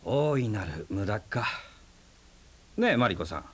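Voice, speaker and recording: Teasing, stubborn man, husky and wry, with animated delivery, radio drama. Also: fairly low pitch, a little slow, exaggerated voice. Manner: rough, casual and wry. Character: brash, teasing young man, stubborn and playful. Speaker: houseguest.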